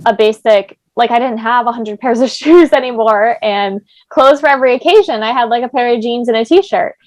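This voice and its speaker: A woman's voice: on the high side, brisk, a little mellow, no echo. Chatty young woman, dry close sound. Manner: chatty, lots of "like" fillers. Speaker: podcast host. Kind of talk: casual chat.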